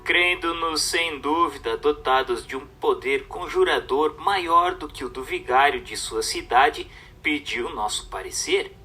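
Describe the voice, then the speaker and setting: A man with a Brazilian accent reads a religious book as scripted narration, in measured, declamatory phrasing. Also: fairly high pitch, sharp tone, breathy edge, recorded from a distance, faint music behind.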